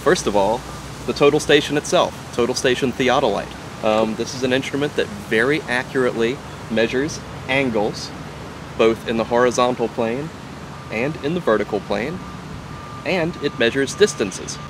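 A man's voice: medium pitch, normal speed, background noise. A man in his thirties, an archaeologist, explaining his work in an outdoor interview. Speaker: archaeologist, surveyor. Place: outdoors by a wooden fence and trees.

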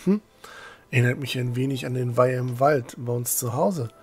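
A man's voice: medium pitch, normal speed, a little mellow, breathy edge, faint music behind. A man playing a hesitant, wary young traveller in a fan-made fantasy audio drama. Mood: puzzled, thoughtful. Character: hesitant, easily winded young male traveller Hector.

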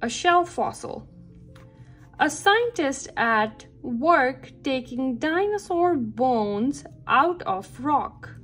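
Slow, lively woman reading to children. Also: sits high. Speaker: read-aloud narrator for children. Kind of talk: children's picture book read-aloud. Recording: background music under the voice. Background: music.

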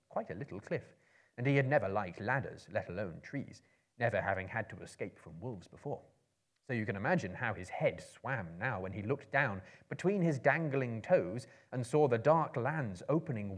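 Theatrical male storyteller, measured and dramatic. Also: on the low side. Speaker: storyteller, performer. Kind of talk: dramatic literary reading to a live audience. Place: indoor book-lined room, seated in an armchair.

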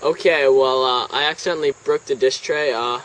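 A teenage male making a deadpan prank phone call in a halting, straight-faced way, recorded outdoors at a table. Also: mid-range voice. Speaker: prank caller. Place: outdoors on a house's back deck. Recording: noisy outdoor camera audio, insects, traffic.

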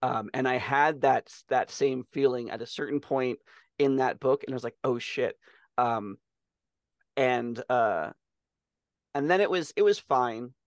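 A man's voice: medium pitch, a little slow, dry recording, clean studio sound. A middle-aged man co-hosting a podcast, chatting casually about books and swearing freely. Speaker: podcast co-host. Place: small room on a video call.

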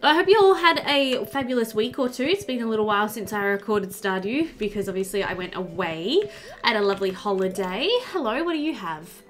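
A woman's voice: medium pitch, lively intonation, a little fast. A young woman giving casual, chatty gameplay commentary into a headset, thinking aloud as she goes. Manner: chatty, thinks aloud, talks to game animals. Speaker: let's-play host.

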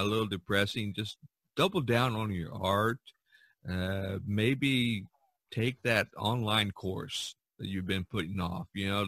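An older man hosting a podcast, chatting casually in a halting, folksy way. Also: on the low side, bright, forceful tone, no echo. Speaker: podcast host. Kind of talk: casual chat and podcast sign-off.

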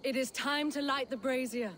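A woman's voice: high-pitched, fairly even intonation, normal speed, a bit bright. Terse, stoic Viking warrior woman. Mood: grave. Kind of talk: video game cutscene acting.

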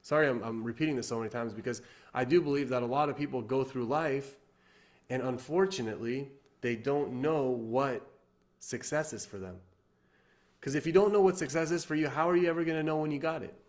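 A man's voice: medium pitch, a little fast. A man in his thirties, from Iran, giving an earnest motivational talk to camera from his living room, repeating himself and restarting often. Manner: earnest, repetitive, many fillers and restarts. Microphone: webcam or laptop camera at desk.

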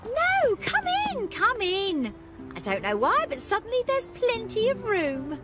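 A prim, middle-aged cartoon attendant. Her voice is extremely high and swoops widely up and down. Music is clear behind her. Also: wildly swooping pitch, measured pace, exaggerated voice. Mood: inviting. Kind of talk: British animated comedy acting. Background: cartoon background music.

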